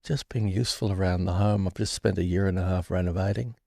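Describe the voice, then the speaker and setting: Gravelly, low-voiced man, reflective. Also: fairly even intonation, darkish tone, dry recording. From born in Ireland, raised in London. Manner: reflective, halting, with restarts. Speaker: guest. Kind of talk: candid recovery interview.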